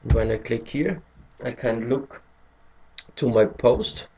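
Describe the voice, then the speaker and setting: A man's voice: medium pitch, slow pace, gravelly, noisy background. A man from Austria, a native German speaker with an Austrian German accent, hesitantly walking through a screen-recorded tutorial in English and searching for words as he goes. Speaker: tutorial maker.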